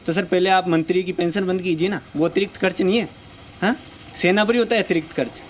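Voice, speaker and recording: A man's voice: high voice, steady tone, quick pace, a little hiss or hum. A man in his twenties, an army aspirant, speaking passionately and pleading in a street interview. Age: 20s. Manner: impassioned, pleading.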